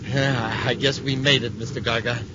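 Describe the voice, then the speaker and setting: A man's voice: mid-range voice, little pitch movement, normal speed, close to an everyday voice. An actor playing an anxious, deferential henchman pleading with his boss in a 1950s radio serial. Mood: uneasy. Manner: pleading, placating. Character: anxious male henchman, deferential, defensive underling. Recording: dull, faintly noisy 1950s radio recording.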